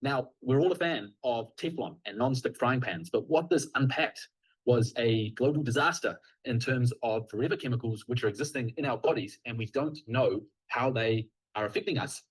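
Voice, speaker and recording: A man with a New Zealand accent presenting his team's project, speaking slowly and earnestly. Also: mid-range voice, breathy edge, low-quality recording. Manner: deliberately slow, earnest. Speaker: team presenter.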